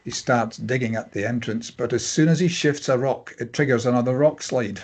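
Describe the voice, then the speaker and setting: A man with a husky voice reading scripted narration and stage directions at a table read. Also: medium pitch, fairly quick.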